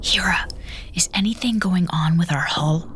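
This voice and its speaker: Commander, female, woman actor: lowish, sharp voice, authoritative, hushed and tense, sci-fi audio drama. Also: normal speed, a little hiss or hum, lightly acted. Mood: wary. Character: female station commander, firm, rational, tense. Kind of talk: science fiction audio drama acting.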